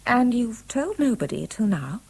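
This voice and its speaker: An actress playing a candid, gently teasing woman with an English accent, poised and articulate, in a 1970s radio drama. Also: on the high side, sing-song, a little slow, vocal fry, husky, exaggerated voice. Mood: sympathetic, candid. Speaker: actor.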